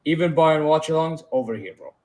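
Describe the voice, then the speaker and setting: A man from Saudi Arabia whose English carries a British-influenced accent, bantering on a livestream. His voice sits a little higher than most men's, and he fills his talk with "bro" and "you know what I mean". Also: animated, brisk, a little mellow. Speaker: co-host, channel owner. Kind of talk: casual livestream chat.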